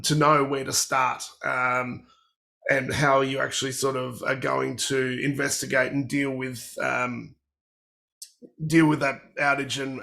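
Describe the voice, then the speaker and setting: Croaky man with a cold presenting. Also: mid-range voice, pushed and bright. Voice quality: gravelly. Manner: explanatory, walking through product features. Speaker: workshop presenter. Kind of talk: technical webinar presentation.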